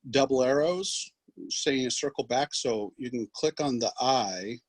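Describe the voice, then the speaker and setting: A man giving an instructional software demonstration as a trainer, explaining in a halting way. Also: mid-range voice, no echo. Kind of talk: instructional screen demonstration, loosely scripted.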